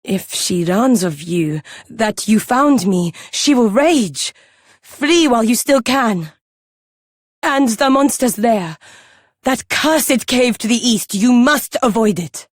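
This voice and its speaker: An actress playing a gentle, fearful young maiden in a video game, speaking solemnly and pleadingly in halting phrases. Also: female voice, on the high side, lively intonation, dry recording, studio quality.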